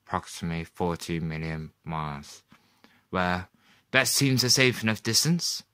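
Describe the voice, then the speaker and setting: A man playing a sceptical astronomy professor in a radio play, speaking in a slow, deliberate and dismissive way. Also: low voice, expressive, fairly bright tone, clear, resonant tone, dry recording, studio quality, pitched below the performer's own voice. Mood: measured, sceptical. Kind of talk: 1930s radio drama acting.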